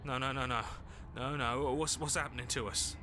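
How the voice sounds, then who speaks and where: A man's voice: mid-range voice, steady tone, normal speed, very noisy, lightly acted. A man voicing a frantic, fearful, confused inner voice in a horror game, agitated and anxious. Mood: panicked. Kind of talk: dramatic horror game acting.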